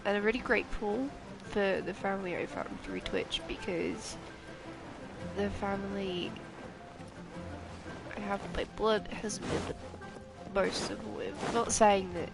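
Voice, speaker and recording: A woman streamer with the sniffles, talking to her viewers in a halting, hesitant way full of pauses. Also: mid-range voice, gravelly, over music, poor audio. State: stuffy, has the sniffles. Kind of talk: casual live-stream chat.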